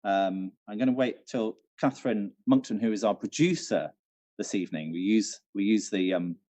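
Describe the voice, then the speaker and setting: A man's voice: lowish voice, normal speed, dark tone, dry recording. A British man co-hosting a quiz with casual chat and announcements, recorded with a dull, muffled sound. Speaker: quiz co-host, venue manager.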